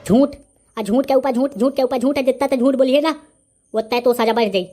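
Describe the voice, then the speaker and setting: A man's voice: very high-pitched, fairly quick, warm tone, soft background music. A man with a Bundelkhandi accent voicing a short-tempered, boastful village bully who rants threats in a comic cartoon. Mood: accusing.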